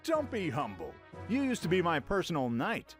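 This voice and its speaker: A brash, cheerful young male pirate, as an actor plays him in a radio drama. The voice sits fairly high and swings widely in pitch, casual and cocky, with a score behind it. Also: wildly swooping pitch, moderate pace. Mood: bold. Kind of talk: fan radio-play acting. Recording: music behind the voice.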